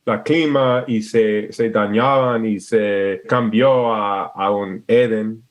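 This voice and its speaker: A man's voice: lowish voice, fairly even intonation, takes it slowly. A man from Alaska speaking Spanish with an American English accent, a guest in a casual interview who restarts often and hunts for words. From Alaska, USA. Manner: hesitant, searching for words with many restarts. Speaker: podcast guest.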